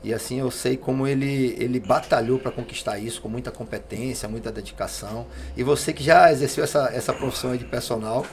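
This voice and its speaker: A man hosting a podcast, interviewing casually and haltingly, repeating words as he builds his questions. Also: medium pitch, noisy background. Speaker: podcast host.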